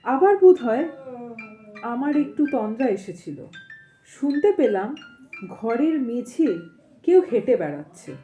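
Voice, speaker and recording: Woman storyteller, high voice, lively swooping intonation, expressive suspenseful narration, soft guitar music behind. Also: a little slow, long pauses. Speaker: storyteller, audio story channel host. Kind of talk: dramatic reading of a Bengali ghost story with casual asides.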